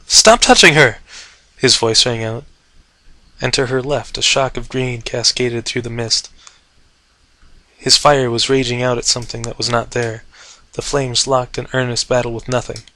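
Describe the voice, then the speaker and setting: A man's voice: mid-range voice, flat delivery, normal speed, sharp tone, dry recording, low-quality recording. A man reading a story aloud as a narrator, with intense, theatrical delivery. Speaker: fan fiction narrator. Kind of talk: dramatic scripted story narration.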